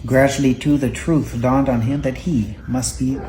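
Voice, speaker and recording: Mellow mid-pitched voice, parent reading a bedtime Bible story, halting read-aloud, faint noise behind. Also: fairly even intonation. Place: indoors at home. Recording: home recording with faint background noise.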